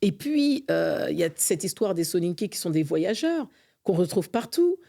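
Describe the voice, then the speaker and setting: Warm-voiced, fervent middle-aged Frenchwoman. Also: lowish voice, very animated, normal speed, creaky. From France. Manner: earnest, fervent, frequent hesitations. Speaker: podcast guest, association vice-president. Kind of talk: conversational interview.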